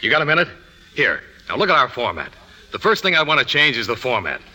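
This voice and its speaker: A man's voice: medium pitch, big pitch swings, fast, pushed and bright, heavily acted. An actor playing a cocky, plain-spoken magazine man in a 1950s radio drama. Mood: eager, bossy. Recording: vintage 1950s radio broadcast.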